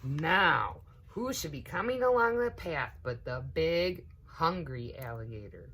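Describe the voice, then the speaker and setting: A woman reading a children's storybook aloud, expressive and emphatic, as if to young children. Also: sits low, unhurried, husky. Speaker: story time reader, teaching assistant.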